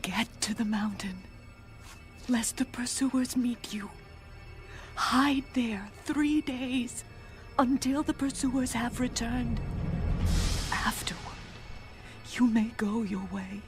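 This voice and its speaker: A woman playing a devout, fearful ancient woman in a dramatized Bible reading, halting and sometimes hushed, pleading earnestly. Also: a little high. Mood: hushed, urgent. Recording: background music under the voice.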